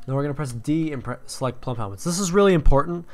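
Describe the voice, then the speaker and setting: A man's voice: fairly high pitch, lively intonation, fast. A young man casually walking through a game tutorial, explaining step by step with fillers and restarts. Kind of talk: screen-recorded game tutorial.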